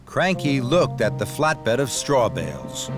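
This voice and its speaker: A man narrating a scripted children's story in an expressive, deliberate storytelling manner. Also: medium pitch. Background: music.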